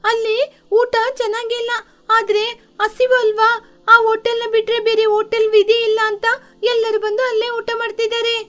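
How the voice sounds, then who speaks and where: A woman voicing an eager, chirpy young bird daughter in an animated children's moral story. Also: very high voice, moderate pace. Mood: eager, persuasive.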